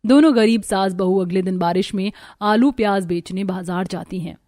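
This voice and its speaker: A woman's voice: mid-range voice, normal speed, warm tone, no echo, clean studio sound. A woman delivering scripted narration for a Hindi moral story as its narrator. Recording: music behind the voice.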